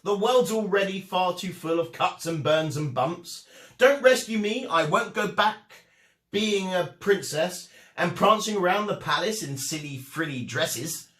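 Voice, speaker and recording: A kindly, plucky little girl princess in a storybook, as a man reads her, unhurried and varied in pitch. She is outspoken, and the room adds some echo. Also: lively intonation, fairly bright tone. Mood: indignant.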